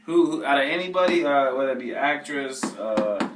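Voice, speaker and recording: A man's voice: medium pitch, animated, moderate pace. A man from the Maryland suburbs, an emerging pop artist being interviewed, rambling casually with many restarts and fillers. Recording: echoey room, mic at a distance, faint noise.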